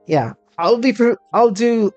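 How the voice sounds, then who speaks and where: A man giving casual commentary on a game playthrough as channel host, halting and often giggly. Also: high voice, animated, a little mellow, highs cut off, soft background music.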